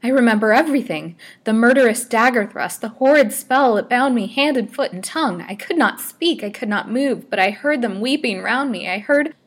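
A woman's voice: mid-range voice, moderate pace, few pauses, pitched above the performer's own voice, lightly acted. A woman voicing a terrified young female patient in a storybook reading, speaking in a dramatic, exclamatory way. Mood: wild, anguished.

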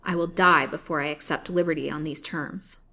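A woman's voice: low voice, fairly even intonation, a little fast, pushed and bright. A woman reading the lines of a proud, defiant young woman held captive, speaking firmly and indignantly. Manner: firm, indignant.

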